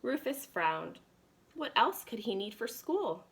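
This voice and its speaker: A woman's voice: fairly high pitch, sing-song, slow pace, gravelly, a bit bright. A woman reading a children's storybook aloud as a preschool teacher would, expressively addressing young children.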